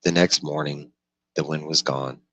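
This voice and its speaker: Very deep-voiced man reading a novel aloud. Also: steady tone, unhurried, bright, forceful tone, no room sound. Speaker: audiobook reader.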